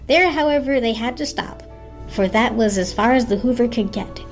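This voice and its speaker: A woman's voice: on the high side, moderate pace. A woman narrating a children's story as a dramatic storybook reading. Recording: music behind the voice. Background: music.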